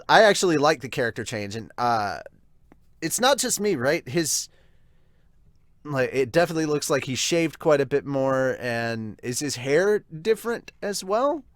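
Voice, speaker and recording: A man's voice: medium pitch, lively intonation, moderate pace, no echo. Chatty man reacting, dry close sound. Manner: chatty, laughs, restarts. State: hiccups. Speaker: podcast host reviewing manga. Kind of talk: casual reaction commentary.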